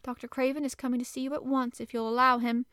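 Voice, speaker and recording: A woman's voice: flat delivery, quick pace, clean tone, dry recording, clean studio sound. Woman playing a fussy flustered housekeeper. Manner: breathless, fussy, higher and louder than the narration. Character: flustered middle-aged female housekeeper, anxious to please.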